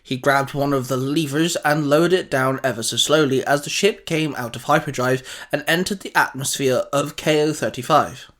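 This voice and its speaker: A man's voice: medium pitch, normal speed, hardly any pauses, fairly bright tone. A man reading a scripted adventure story as its narrator, in a dramatic storytelling style.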